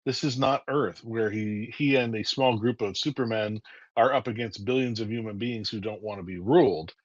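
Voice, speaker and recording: A man's voice: on the low side, normal speed, dry recording. Male guest writer, articulate and methodical; dry, slightly muffled call audio. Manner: articulate, methodical, asks himself rhetorical questions. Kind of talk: podcast interview.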